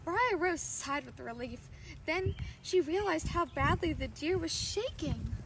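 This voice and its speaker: A woman's voice: sits high, lively intonation, measured pace, gravelly. A woman storyteller reading a children's fairy tale aloud.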